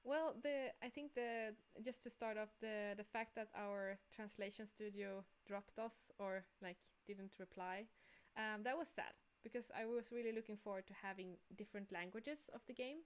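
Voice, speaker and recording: A woman's voice: mid-range voice, normal speed, smooth. A woman co-hosting a casual livestream as a game developer, talking in an upbeat, enthusiastic way.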